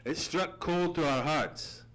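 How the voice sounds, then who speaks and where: Doctor Watson, earnest, suspenseful radio narrator. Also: male voice, on the high side, slow pace, fairly bright tone, low-quality recording. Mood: grave, horrified. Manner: theatrical, suspenseful, formal storytelling. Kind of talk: old-time radio dramatic storytelling. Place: theatre stage, live radio play.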